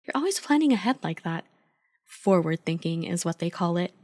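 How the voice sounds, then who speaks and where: A woman's voice: fairly low pitch, animated, brisk, darkish tone, no room sound, clean studio sound, lightly acted. A woman playing an affectionate, playful girlfriend in an ASMR roleplay, chatty, teasing and supportive as an eager but clumsy baker. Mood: teasing, fond.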